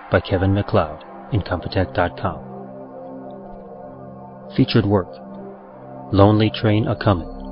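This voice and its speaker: A man reading scripted credits as a podcast announcer, in a measured, formal way. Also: deep voice. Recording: dull lo-fi sound under music.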